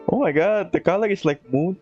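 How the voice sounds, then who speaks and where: A woman's voice: medium pitch, expressive, moderate pace, darkish tone, muffled recording, faint music behind. A woman streamer giving casual, laid-back commentary over gameplay with amused asides. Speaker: gaming streamer.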